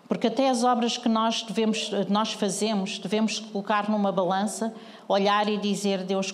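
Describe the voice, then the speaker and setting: Portuguese lady pastor preaching, earnest, lots of questions, big echoey church hall. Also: mid-range voice. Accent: European Portuguese. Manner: questioning the congregation, earnest, rising to urgency. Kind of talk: sermon.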